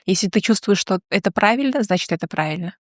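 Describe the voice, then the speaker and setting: A woman guest in a podcast interview, speaking earnestly and confidently, sometimes in quick bursts. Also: mid-range voice, expressive, no room sound, clean studio sound. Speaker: guest entrepreneur. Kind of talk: casual interview talk.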